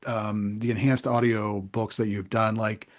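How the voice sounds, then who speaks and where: A man's voice: lowish voice, level tone, measured pace. A man interviewing a guest in a casual, informal way, with loose and tentative phrasing. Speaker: interviewer, host.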